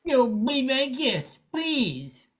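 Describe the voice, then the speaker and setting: Woman playing a high-voiced, doting dog owner. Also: sing-song, very slow, exaggerated voice. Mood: gently scolding. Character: fretful, doting female dog owner calling her pet.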